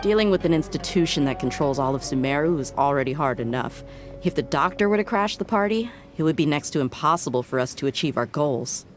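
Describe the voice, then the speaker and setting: A woman's voice: deep voice, animated, fairly quick. A woman voicing a playful, teasing young female mercenary in a game. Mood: businesslike. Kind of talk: game voice acting. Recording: game music playing loud behind.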